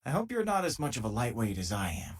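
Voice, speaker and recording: An actor playing an easygoing, wry spacefaring miner and loyal companion in a video game, with a husky voice and a casual, dry-humoured way of talking. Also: male voice, mid-range voice, very animated, fast. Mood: reflective, friendly. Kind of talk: scripted game voice lines, natural conversational delivery.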